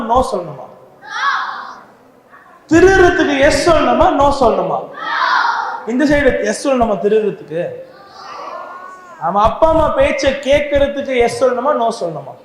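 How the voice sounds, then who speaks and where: A Bible class teacher fires loud, lively questions at children and waits for them to shout the answers back. The voice rings through a very echoey church hall with a long reverb tail. A crowd of children can be heard clearly behind it. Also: medium pitch. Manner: loud, animated, calls out questions to the kids.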